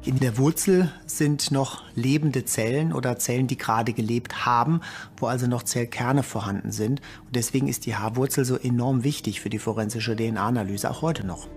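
A man's voice: mid-range voice, normal speed, over music. A man explaining things as a forensic expert in an interview.

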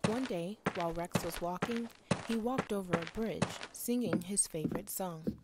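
A woman's voice: on the low side, moderate pace, very noisy. A woman narrating a scripted children's bedtime story.

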